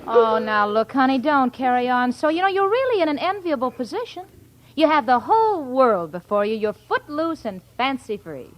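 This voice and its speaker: A woman's voice: sits high, lively intonation, normal speed, a faint crowd. An actress playing a sensible, motherly young woman in an old radio sitcom, coaxing and emphatic. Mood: comforting, coaxing. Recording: noisy old radio recording with audience.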